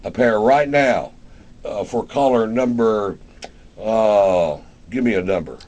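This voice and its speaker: A mature man with a deep, low-set voice. He hosts a live radio interview in a casual, folksy style, rambling a little as he goes. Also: slow pace, darkish tone, muffled recording. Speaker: radio show host.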